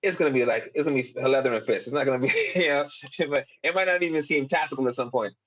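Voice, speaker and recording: A man's voice: mid-range voice, fast, fairly bright tone, lo-fi sound. A young adult man, a fighter, giving casual interview answers in a rambling way, full of fillers and short laughs. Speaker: MMA fighter.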